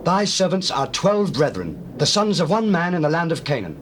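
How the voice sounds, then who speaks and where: An actor playing a humble, earnest traveller pleading emphatically and declaiming in a scripture drama. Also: male voice, fairly high pitch, sing-song, normal speed. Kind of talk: classical dramatized scripture reading. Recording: faintly noisy old cassette with ambience.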